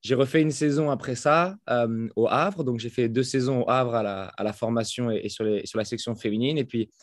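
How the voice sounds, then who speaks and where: A French man who just turned thirty, guest on a podcast, speaking in a halting way full of fillers and restarts. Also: medium pitch, dry recording, clean studio sound. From France. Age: 30s. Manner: hesitant, many fillers and restarts. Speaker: guest, fitness coach. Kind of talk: casual interview talk. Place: home in Florida, over a call.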